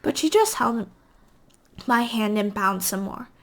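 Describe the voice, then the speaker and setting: A woman reading a novel aloud as an amateur, halting at times as she stumbles over words and corrects herself. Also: medium pitch, expressive, gravelly. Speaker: amateur reader.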